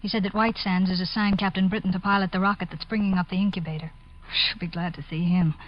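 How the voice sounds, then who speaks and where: An actress playing a practical, concerned military nurse in a 1950s radio drama. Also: female voice, mid-range voice, steady tone, fast talker, natural voice. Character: practical, concerned military nurse, plain-spoken with her superior. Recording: muffled vintage 1950s radio recording.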